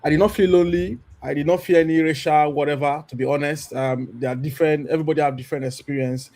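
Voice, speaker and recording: A Nigerian man in his thirties speaking on a church panel. He talks animatedly and acts out little exchanges as he tells his story. His voice sits a bit high for a man. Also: steady tone, quick pace, darkish tone. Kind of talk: candid testimony on a church panel.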